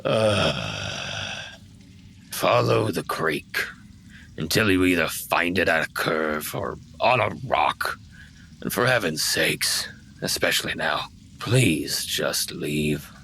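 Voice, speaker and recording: Fantasy game dragon: ancient, grumpy, proud. Very deep creaky growl, dismissive delivery. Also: male voice, animated, slow, bright, forceful tone, a little hiss or hum, heavily acted. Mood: weary, exasperated. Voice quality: gravelly.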